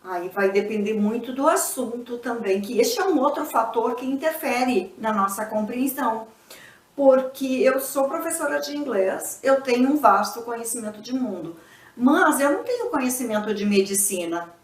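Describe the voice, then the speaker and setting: A native Portuguese-speaking woman teaches English in a patient, explanatory way, pausing to check in with her students. Her voice sits a little high. She sounds a bit away from the mic, in a room with a noticeable echo. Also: expressive, far from the mic. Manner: didactic, checks in with frequent tag questions. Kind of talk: explanatory video lesson.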